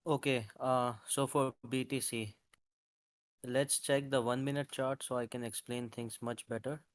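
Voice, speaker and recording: A man's voice: mid-range voice, level tone, unhurried, no echo. A man casually explaining market charts on a live call, talking hesitantly with many fillers and restarts. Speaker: crypto market analyst presenting charts. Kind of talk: live-streamed chart walkthrough.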